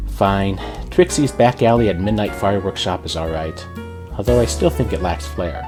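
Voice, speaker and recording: A man's voice: low-pitched, moderate pace, music in the background, natural voice. A man voicing a boastful, theatrical female pony stage magician with a grandiose, self-assured manner in a storybook reading. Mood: grudging.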